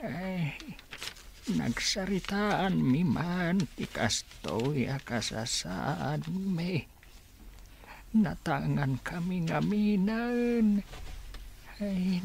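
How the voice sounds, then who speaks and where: Radio drama old father, played by a woman, tender and weary, very slow delivery with a gravelly creak. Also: on the low side, a little mellow, a little hiss or hum, full character voice. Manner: slow, halting. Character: weary elderly wheelchair-bound father, tender with children.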